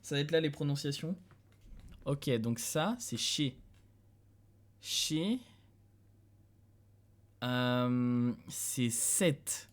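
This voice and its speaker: A man's voice: mid-range voice, extremely slow, long pauses. A young male streamer from France talks through his session in a loose, casual way, often thinking aloud and breaking into chuckles. He speaks into a desk mic in a dim room at home, addressing his viewers as he goes. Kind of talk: casual live-stream commentary.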